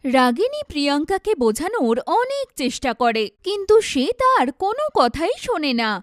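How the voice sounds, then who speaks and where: A woman's voice: sits high, sing-song, normal speed, dry recording. A woman narrates a scripted cartoon tale in storybook style. The recording is dry and close, with no room around the voice.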